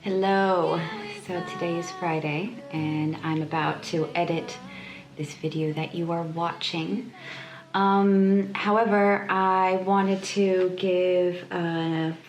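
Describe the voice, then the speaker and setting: A woman illustrator chatting casually on her vlog, hesitant, often pausing and repeating words as she thinks. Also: lowish voice, fairly even intonation, soft background music. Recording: echoey room, clear background noise.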